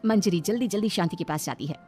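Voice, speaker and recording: A woman's voice: on the low side, quick pace, darkish tone. A woman reading scripted narration for a cartoon story, as a storyteller. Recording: faint music behind the voice. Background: background music.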